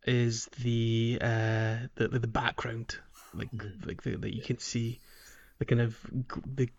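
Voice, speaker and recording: A man co-hosting a casual video game podcast, speaking haltingly and repeating words as he thinks. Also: mid-range voice, little pitch movement, muffled recording. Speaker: podcast co-host.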